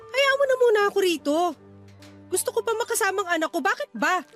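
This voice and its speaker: Very high-voiced anguished young mother. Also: animated, a little fast, a bit bright. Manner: anguished, tearful, self-blaming. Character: Geneva, young bereaved mother, despairing and stubborn. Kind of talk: radio-drama dialogue acting. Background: music.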